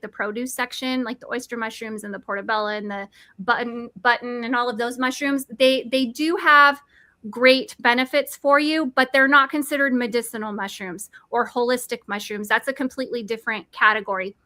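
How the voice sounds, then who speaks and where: Woman, forties, bright tense voice, dry close sound, eager explainer. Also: on the high side, a little fast, dry recording. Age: 40s. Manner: eager, nerdy, self-correcting. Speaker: product presenter. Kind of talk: enthusiastic explanatory talk.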